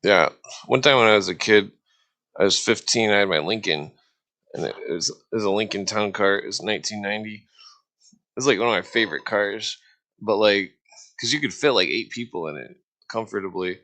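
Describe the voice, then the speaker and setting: A man's voice: fairly low pitch, moderate pace, sharp tone. A man chatting casually on a livestream, telling anecdotes in a rambling way with repeated phrases. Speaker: livestream talker. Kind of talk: casual chat.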